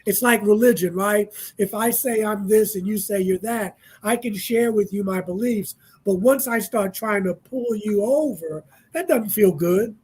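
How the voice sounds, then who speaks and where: A man's voice: high voice, a little slow, hardly any pauses, a little mellow, breathy edge. A man in his sixties, a panel guest speaking in a reflective, easygoing way on a casual radio talk show. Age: 60s.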